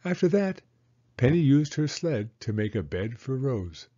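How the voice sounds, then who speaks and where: A man narrating a children's read-aloud story in an expressive, deliberate manner. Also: medium pitch, dull audio.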